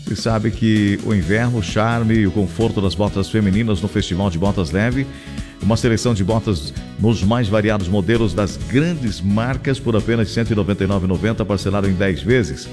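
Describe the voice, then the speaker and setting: Male radio host, southern Brazilian accent, deep-leaning voice, effusive patter over a clear music bed. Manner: chatty, effusive, repeats phrases. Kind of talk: live radio chat, shout-outs and ad reads. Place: radio studio. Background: music under the voice.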